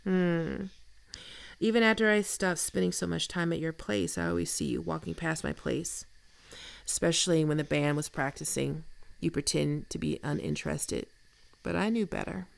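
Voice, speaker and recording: Woman acting a very creaky, teasing flirt. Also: low voice. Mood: flirtatious teasing. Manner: casual, teasing, unhurried. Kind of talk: intimate ASMR roleplay acting.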